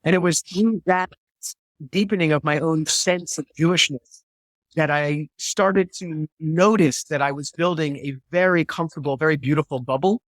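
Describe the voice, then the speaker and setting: Guest speaker, man, 50s, slightly high pitch, thoughtful and searching, video-call mic. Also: normal speed, dry recording, rough recording. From New Hampshire, USA. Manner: thoughtful, repeats words as he searches for phrasing. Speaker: guest historian and theologian. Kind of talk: reflective interview answer.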